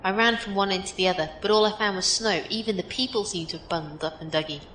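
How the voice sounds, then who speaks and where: A woman's voice: steady tone, fast, a bit bright, close to an everyday voice. A woman playing a breezy, blunt, tough female teammate in an audio drama, talking in a casual, folksy, direct way. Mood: casual, grumbling. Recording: echoey room with faint noise, rumble.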